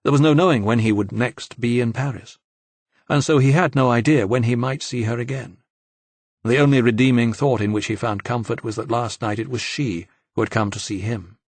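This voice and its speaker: A man's voice: medium pitch, steady tone, moderate pace, no room sound. A man narrating fiction from a script as an audiobook reader.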